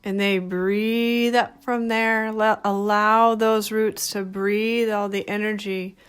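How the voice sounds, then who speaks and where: A woman leading a guided meditation in a gentle, soothing, unhurried manner, speaking in short phrases. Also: medium pitch, level tone, smooth. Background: background music.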